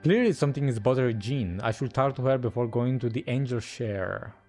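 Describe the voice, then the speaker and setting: A man's voice: medium pitch, expressive, brisk, darkish tone. A man giving casual reaction commentary while streaming a video game. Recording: faint game music behind.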